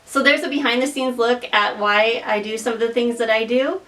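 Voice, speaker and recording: A woman from northeastern Montana talking casually as a vlog host about her craft, relaxed and hesitant with many pauses. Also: on the high side, distant mic. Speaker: artist and shop owner.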